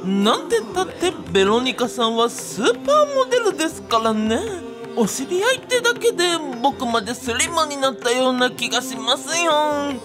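Woman doing a whiny polite chubby young guy, high voice, radio comedy with music behind. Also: very animated, moderate pace. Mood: fretful musing. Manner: polite, whiny, quirky sentence endings. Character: fussy, vain, chubby young man, self-pitying and daydreaming. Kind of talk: comic radio drama monologue.

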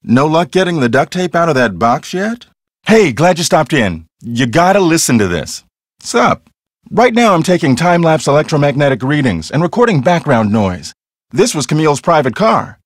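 An earnest young American ghost hunter in a video game. His fairly high voice moves up and down a lot as he explains himself with self-serious intensity. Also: no room sound, studio quality. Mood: casual. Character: earnest, self-serious male paranormal investigator.

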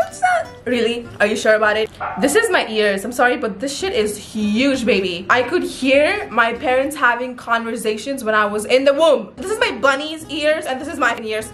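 A young woman with an Arabic-influenced accent chats crudely and with humor straight to the camera from her bedroom. Her voice sits a little high. A faint music bed plays under her talk. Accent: Arabic-influenced English. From Middle East. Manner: crude, joking, animated. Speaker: vlogger. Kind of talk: casual vlog chat. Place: bedroom, indoors.

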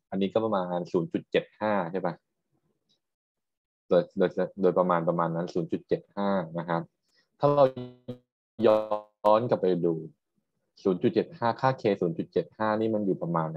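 Low-voiced male lecturer, roomy dull recording. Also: normal speed, pauses often, darkish tone. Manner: explanatory, checks understanding with frequent tag questions. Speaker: university lecturer. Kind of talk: technical lecture with questions to students.

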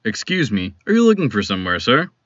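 A man's voice: on the low side, wildly swooping pitch, fast, fairly bright tone, highs cut off, full character voice. A man playing a courteous, helpful businessman stranger in an audio drama. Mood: polite, helpful.

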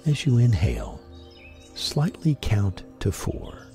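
A man guiding a sleep meditation in a gentle, deliberate, breathy voice with long pauses. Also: medium pitch, huge pitch swings. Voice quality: husky, breathy. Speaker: meditation guide and host. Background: music.

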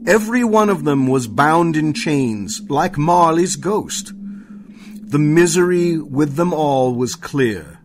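A man narrating a scripted radio play as a storyteller, with measured dramatic delivery. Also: on the high side, a little hiss or hum.

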